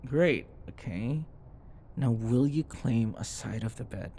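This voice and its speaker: Casting a man to act: grumpy but caring male office rival for ASMR drama, gravelly, blunt, conversational; slightly noisy recording. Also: medium pitch, expressive, slow, warm tone. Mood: tired, irritated. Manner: exasperated, blunt, conversational, sighing. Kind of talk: intimate ASMR boyfriend roleplay acting.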